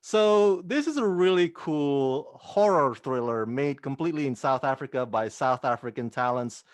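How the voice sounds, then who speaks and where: A man's voice: mid-range voice, lively intonation, normal speed, no room sound. Friendly male interviewer, dry sound.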